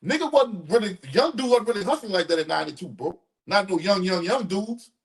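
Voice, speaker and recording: Man, 50s, loud high voice, rapid, preachy podcast host. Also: expressive. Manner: preachy, repeats his points to drive them home. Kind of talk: casual podcast chat.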